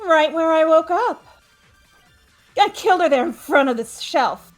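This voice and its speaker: A woman's voice: very high voice, lively intonation, measured pace, faint music behind. Woman voicing a gleeful young serial killer. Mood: playful.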